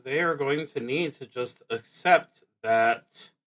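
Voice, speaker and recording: Male solo-RPG streamer, mid-pitched and unhurried, casual play-along narration with halting, self-directed muttering. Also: fairly bright tone. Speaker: solo roleplaying game streamer.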